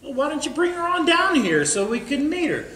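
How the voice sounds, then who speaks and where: A man plays a skeptical, plain-spoken bar buddy in a stage comedy. He has a high, forceful voice and a folksy, teasing manner. It is recorded in an echoey room with faint noise. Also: big pitch swings, brisk, heavily acted.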